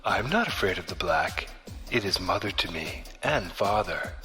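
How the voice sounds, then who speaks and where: An actor playing a fanatical male cultist in battle armor, speaking calmly and condescendingly. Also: low-pitched. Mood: menacing calm. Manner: calm, slow, condescending menace. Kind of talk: audio drama acting. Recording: music score underneath.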